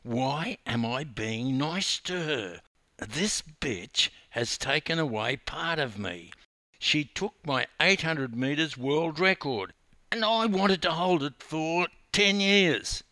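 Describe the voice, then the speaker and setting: A man's voice: a little high, lively intonation, takes it slowly, sharp tone, dry recording, voice pushed up, heavily acted. A man voicing a proud, snappish woman champion in a narrated story. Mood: inwardly resentful. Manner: snappish, brooding. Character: proud, irritable woman swimming champion losing her records.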